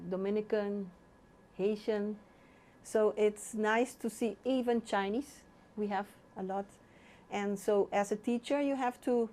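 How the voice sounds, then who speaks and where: A woman's voice: mid-range voice, warm tone. A woman from Aruba, a Papiamento speaker, talking conversationally as a teacher in an interview.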